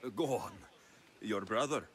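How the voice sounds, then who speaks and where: An actor playing a plain-spoken heroic mercenary in a video game, with a direct, questioning manner. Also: male voice, lowish voice, unhurried, a little hiss or hum, close to an everyday voice. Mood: calm, wry. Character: heroic Greek mercenary, plain-spoken, helpful, quick to exasperation. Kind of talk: video game cutscene acting.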